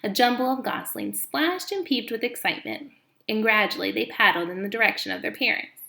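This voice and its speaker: A woman reading a children's book aloud as an expressive storyteller, recorded in an echoey room. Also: a little high, fairly quick, creaky.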